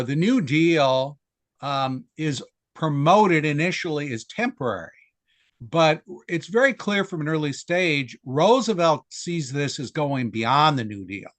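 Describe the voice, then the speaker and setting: A man's voice: on the high side, a bit bright, no room sound. Older American man, dry close sound. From United States. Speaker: historian guest. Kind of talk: interview discussion.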